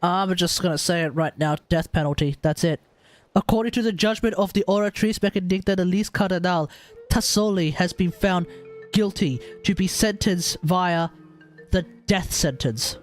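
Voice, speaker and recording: A woman's voice: lowish voice, moderate pace. A woman giving casual live commentary on a game, blunt and slangy, often repeating phrases, over game music. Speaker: game streamer.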